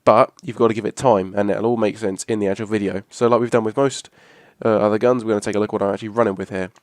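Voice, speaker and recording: A hoarse young man, rambling casually through a video game weapon review. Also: lowish voice, fairly even intonation, racing, no room sound, studio quality. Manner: rambling, restarts a lot, casual swearing. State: hoarse from a cough. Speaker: gaming channel host. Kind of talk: casual gameplay commentary.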